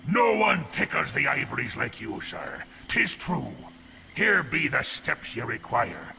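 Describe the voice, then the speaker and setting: Game role: jolly old pirate ghoul, stage pirate accent, heavy gravel, lively swings in pitch, unhurried. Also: male voice, slight background noise, exaggerated voice. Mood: delighted. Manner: archaic pirate speech, exclaiming heartily. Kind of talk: theatrical video game character acting. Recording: music behind the voice.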